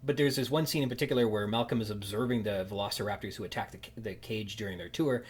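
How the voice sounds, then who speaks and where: A man with a husky, slightly rough voice hosts a podcast interview. He talks in a conversational way, halting and thoughtful, working through long sentences and repeating words as he goes. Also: lowish voice. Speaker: podcast host.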